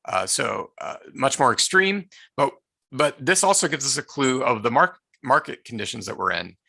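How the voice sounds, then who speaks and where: A man with a hoarse, tired voice presenting a live online market-analysis session, speaking haltingly with many filler sounds and restarts. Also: medium pitch, animated, pushed and bright, no echo. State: hoarse, losing his voice.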